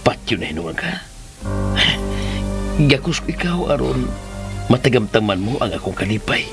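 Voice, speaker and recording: A gentle, devoted middle-aged lover in a Philippine radio drama. His coaxing voice swings widely in pitch, with music playing behind it. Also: sing-song, normal speed. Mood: earnest, emotional.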